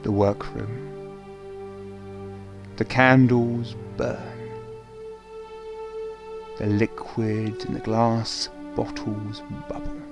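Slow, creaky-voiced male narrator. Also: on the low side, little pitch movement, over music. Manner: dramatic, measured. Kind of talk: scripted horror radio play narration.

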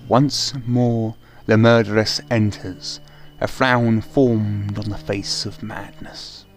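A man's voice: lowish voice, fairly even intonation, takes it slowly, soft background music. A man playing an ominous, florid narrator, speaking portentously in an amateur gothic horror radio play. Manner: portentous, theatrical.

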